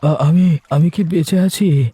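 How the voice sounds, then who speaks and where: A man voicing an exhausted, poor village man in a cartoon, halting and plaintive. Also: a little high, warm tone, husky, no room sound. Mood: weak, shaken. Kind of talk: cartoon dubbing.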